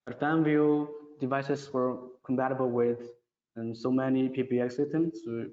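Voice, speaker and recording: A male presenter with non-native English works through a question-and-answer session. He reads each question out, then answers haltingly in plain, simple phrasing. His mid-pitched voice comes through muffled, with heavy room reverb. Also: steady tone. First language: non-native English speaker.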